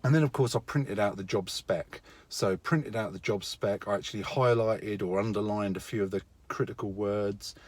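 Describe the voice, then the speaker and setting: A middle-aged man with a fairly low voice talks through practical career advice in an informal vlog style. His delivery is matter-of-fact, with emphasis on the key words. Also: normal speed, few pauses. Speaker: IT contractor giving career advice.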